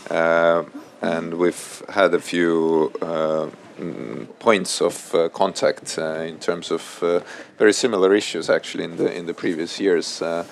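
A man in his thirties whose first language is Russian, speaking on a panel at a public event, halting and full of fillers and restarts. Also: sits low, level tone, creaky, slight background noise. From Estonia. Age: 30s. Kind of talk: panel discussion. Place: event hall before an audience.

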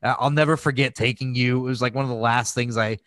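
A man's voice: mid-range voice, steady tone, quick pace, a bit bright. A man hosting a podcast, chatting casually about films in a crass, emphatic and gushing way. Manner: crass, freely swearing. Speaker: podcast host. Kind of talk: casual film review chat.

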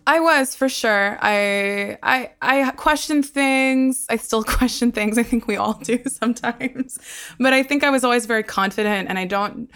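A woman's voice: on the high side, measured pace, fairly bright tone, clean tone. A young woman who hosts a podcast, talking easily about her life and laughing often. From Australia. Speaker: podcast host. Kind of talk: conversational interview.

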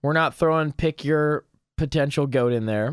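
A man co-hosting a casual sports talk show, slightly hoarse, animated and joking with many fillers. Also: fairly high pitch, moderate pace, no room sound, clean studio sound. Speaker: sports podcast co-host.

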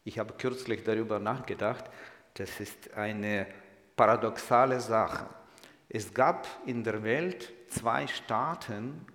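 A man's voice: fairly low pitch, slow, fairly bright tone. A man, speaking German as a guest in church with humor and audience asides. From Ukraine. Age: 60s. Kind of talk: personal testimony in church. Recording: echoey hall.

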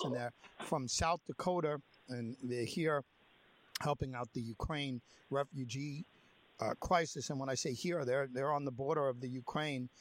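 A man's voice: fairly high pitch, rough recording. A man hosting an interview, talking in a rambling way with many fillers. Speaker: host. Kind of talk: casual interview. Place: near the Polish border.

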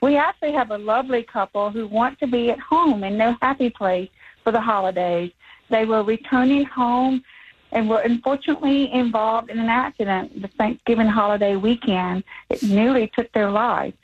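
A woman who owns a home care business, calling in to tell a story in a warm, measured way. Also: a little high. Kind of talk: prepared phone-in talk. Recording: phone line.

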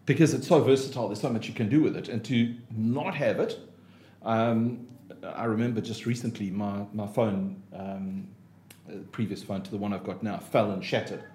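A man's voice: fairly low pitch, moderate pace. South African man, chatty, echoey room. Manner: relaxed, chatty, with frequent ums and laughs. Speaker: interview guest, motivational speaker and trainer. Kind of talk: casual podcast chat. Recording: echoey room, faint noise.

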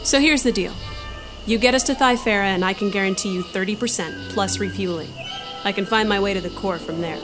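A woman's voice: medium pitch, a little fast, close to an everyday voice. A woman playing a tough, sardonic space adventurer in an audio drama, with a wry, confident delivery. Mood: hard bargaining. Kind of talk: audio drama character acting. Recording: music playing behind the voice.

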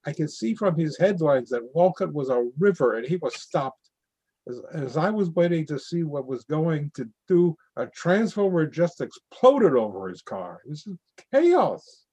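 Brief: man playing a storm-night driver, animated telling, warm dark tone, dry room. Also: on the high side, unhurried, husky, dry recording, exaggerated voice, rough recording. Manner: vivid, animated storytelling. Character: man who drove through storm flooding. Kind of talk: readers theatre monologue.

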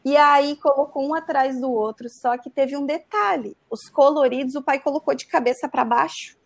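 Uma mulher jovem de Santa Catarina contando uma história de forma casual e animada, cheia de "né". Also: female voice, sits high, moderate pace, vocal fry. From Santa Catarina, Brazil. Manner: animated, frequent "né" tags. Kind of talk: casual storytelling.

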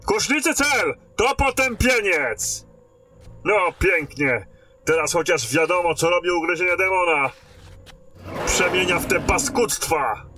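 A man playing a gruff, commanding and foul-mouthed knight lieutenant in a fantasy radio drama. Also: sits high, animated, a little slow, pushed and bright, soft background music, heavily acted. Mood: tense, commanding. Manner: brusque, commanding.

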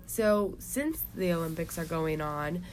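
A young woman speaks as a show co-host with a chatty, scripted delivery. Her voice is on the low side, and faint ambient noise sits behind it. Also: expressive, unhurried, darkish tone. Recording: clear background ambient noise.

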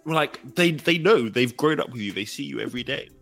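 A man's voice: medium pitch, animated, brisk, gravelly, fairly bright tone, soft background music. A man in a casual panel discussion, explaining his point informally with conversational asides.

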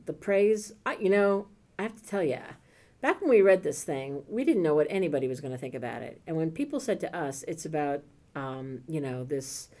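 A woman's voice: low voice, a little mellow. A woman co-hosting a podcast, talking enthusiastically about a favorite book in a rambling, casual way. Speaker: podcast co-host. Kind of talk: casual chat, book recommendations.

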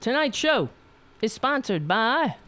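Slow, rambling New York woman host. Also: on the high side, very animated, heavy background noise. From Staten Island, New York. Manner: rambling, profane, frequent restarts. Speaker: podcast host. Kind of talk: political talk show monologue.

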